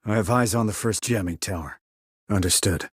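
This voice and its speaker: An actor playing a terse, dry, confident military sniper in a video game, with a husky voice and clipped, controlled reports. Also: male voice, on the low side, moderate pace, no room sound. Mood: businesslike. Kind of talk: scripted military game dialogue.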